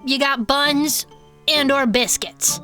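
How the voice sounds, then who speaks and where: A woman playing an excitable, cheeky cryptid enthusiast who co-hosts a club show, chatty and gushing in a comedic audio fiction. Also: sits high, slow, soft background music, full character voice. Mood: earnest explaining.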